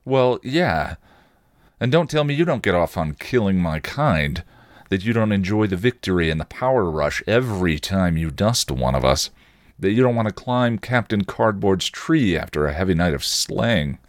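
A cocky, passionate vampire voiced by a man reading a story. He goads and presses insistently in a low, dry-sounding voice with animated swings of pitch. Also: moderate pace, no echo. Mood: goading. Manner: provocative, insistent, goading. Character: cocky, taunting, passionate male vampire with British slang.

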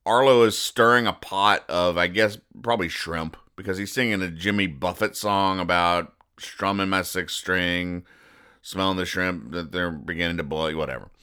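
A man hosting a podcast in a casual, rambling commentary style, explaining jokes with deadpan mock-earnestness. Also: low-pitched, normal speed, clean tone. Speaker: podcast host.

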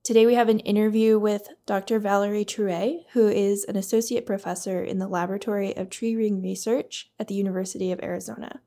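A woman's voice: mid-range voice, steady tone, a little fast, hardly any pauses, warm tone. A woman hosting a podcast, reading the introduction and asking questions in a friendly manner. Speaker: podcast host.

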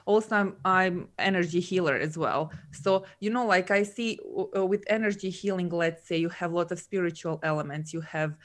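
Guest, woman, 30s; low-ish warm chat, filler-heavy. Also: normal speed, hardly any pauses. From Serbia. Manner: chatty, laughs often, many fillers. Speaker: guest, coach and entrepreneur. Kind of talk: casual conversational interview.

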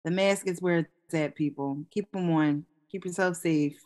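A woman co-hosts a relaxed podcast conversation. She is animated and laughs easily, with bursts of exclamation between stretches of steady explaining. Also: lowish voice, moderate pace. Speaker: co-host. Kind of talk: casual podcast chat.

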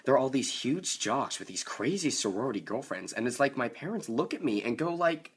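A man playing a chatty young friend in a radio soap, gossipy and quick. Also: medium pitch, expressive, breathy edge.

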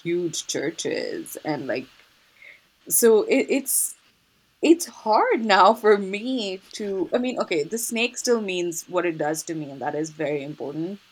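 A woman's voice: lowish voice, expressive, slow pace, husky. A woman in a casual conversation, thinking aloud with frequent restarts and light laughter.

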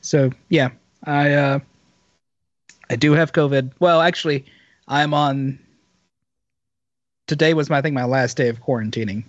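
A hoarse man with a raspy voice, chatting as a podcast co-host. He rambles and restarts his sentences as he tells a story. The sound is a bit dull and lo-fi, and the room is dry. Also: medium pitch, fairly even intonation, measured pace, long pauses, no room sound. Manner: rambling, self-interrupting storyteller. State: hoarse, recovering from illness. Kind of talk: casual banter.

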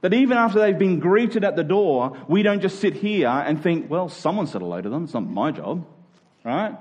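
Higher-voiced man preaching conversationally. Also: very animated, moderate pace, darkish tone. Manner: colloquial, dramatizing imagined exchanges; emphatic, repeating key phrases. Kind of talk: conversational sermon to a church congregation.

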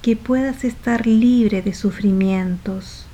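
A woman leading a guided meditation softly and without hurry, phrase by phrase, letting the ends of her phrases trail off into breath. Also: fairly high pitch. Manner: soft, unhurried, phrase by phrase with trailing breathy endings.

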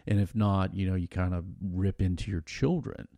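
Deep, gravelly American man podcasting. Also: steady tone, normal speed, no echo, clean studio sound. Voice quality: loud, projecting voice. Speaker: podcast host. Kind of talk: casual conversational podcast discussion.

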